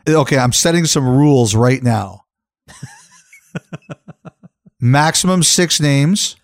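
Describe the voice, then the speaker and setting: A man co-hosting a podcast, relaxed and loose, laughing easily after a few drinks. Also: mid-range voice, slow pace, no room sound, studio quality. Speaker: podcast co-host. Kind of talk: casual chat.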